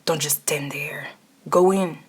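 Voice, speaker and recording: A woman voicing a domineering, smug male boss in a hushed, breathy, commanding manner for an ASMR roleplay. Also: deep voice, fairly even intonation, slow pace, full character voice. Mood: quiet command.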